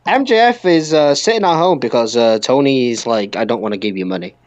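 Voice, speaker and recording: A man's voice: mid-range voice, lively intonation, a little fast, no room sound. A man hosting a wrestling podcast, chatting casually and arguing with exclamations.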